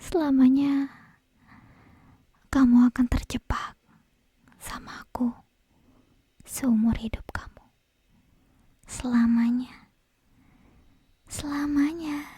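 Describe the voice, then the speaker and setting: Woman acting a breathy, whispering yandere girl. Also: sits high, fairly even intonation, no room sound, full character voice. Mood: obsessive. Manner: slow, intimate, drawn-out phrasing with soft giggles. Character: obsessive possessive yandere young woman, sweetly menacing kidnapper. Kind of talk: ASMR roleplay. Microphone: close binaural ASMR microphone.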